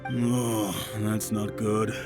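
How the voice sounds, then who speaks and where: An actor playing a worried, friendly innkeeper in a video game, speaking politely and fretfully. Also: male voice, fairly low pitch, little pitch movement, extremely slow, over music. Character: worried, friendly male innkeeper who lost an heirloom.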